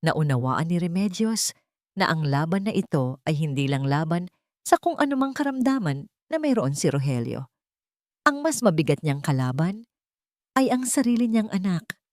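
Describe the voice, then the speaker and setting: A woman's voice: lowish voice, darkish tone, no room sound, clean studio sound. A woman narrating a scripted dramatic story.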